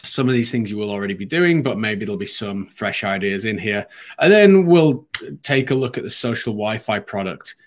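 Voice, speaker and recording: A man's voice: fairly low pitch, lively intonation, moderate pace. A man hosting a live business webinar, a bit sniffly from hay fever, talking conversationally with frequent ums and restarts. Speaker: webinar host.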